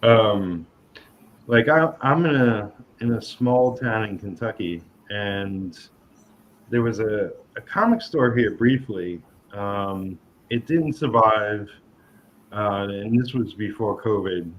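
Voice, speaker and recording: Low-voiced man on a dull, lo-fi line. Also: slow. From New York. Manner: hesitant, many ums and restarts. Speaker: podcast host. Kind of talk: casual interview chat. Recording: dull lo-fi sound with faint noise.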